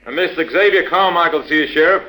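An actor playing a dutiful, brisk sheriff's deputy in a 1940s radio drama. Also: male voice, on the high side, pushed and bright, low-quality recording.

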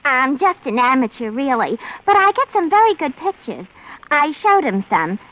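On a dull, faintly noisy old radio recording, a high-voiced American dame with very lively swoops. She is prim and naive, speaking with an affected politeness in the manner of 1940s comedy-adventure radio. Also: normal speed. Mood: chatty, naive. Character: prim, naive, chirpy dame who fancies herself refined.

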